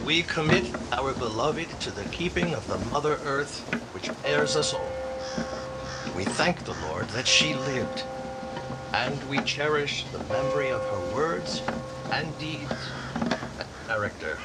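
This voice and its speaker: A man's voice: mid-range voice, animated, loud music behind the voice, natural voice, low-quality recording. An actor playing a solemn, consoling clergyman at a burial, formal and entreating, in radio drama style. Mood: solemn prayer. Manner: formal, measured, gently entreating.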